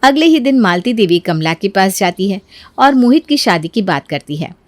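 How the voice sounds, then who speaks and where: A woman's voice: mid-range voice, big pitch swings, moderate pace, no echo. A woman narrating a scripted family moral story as a storyteller.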